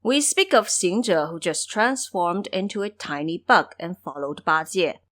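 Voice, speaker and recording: A woman's voice: lowish voice, expressive, a little slow, a bit bright. A woman narrating a scripted fantasy adventure as a storyteller.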